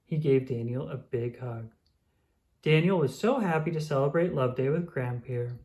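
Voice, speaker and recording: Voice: medium pitch, moderate pace, darkish tone. A storybook reader narrating expressively for young children. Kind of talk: expressive scripted read-aloud narration.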